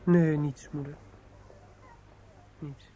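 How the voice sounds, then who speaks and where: A man's voice: on the high side, little pitch movement, drawn-out words, dark tone. A male actor playing a polite, earnest young man and dutiful son in a radio play, hesitant and apologetic. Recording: noisy old radio play recording.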